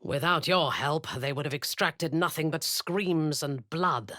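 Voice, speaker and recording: A woman playing a ruthless, cruel and seductive female dark elf war commander in a video game, with a breathy, husky voice and a commanding, menacing, deliberate manner. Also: deep voice, no echo, clean studio sound. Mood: cold command.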